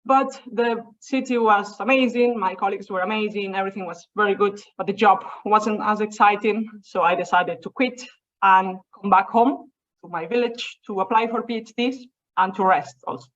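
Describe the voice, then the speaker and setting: A woman's voice: medium pitch, moderate pace, a bit bright. Young Spanish-accented woman giving a talk. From Spain. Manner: chatty, informal storytelling. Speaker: seminar speaker. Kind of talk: academic talk over a video call. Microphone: video call. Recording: muffled video call audio.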